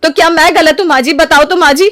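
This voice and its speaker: A woman's voice: extremely high pitch, steady tone, quick pace, fairly bright tone, dry recording, studio quality, voice pushed up. A woman voicing a resentful elder sister-in-law, bitter and scheming, in a cartoon family story. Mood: pleading, aggrieved. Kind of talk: animated family story dialogue.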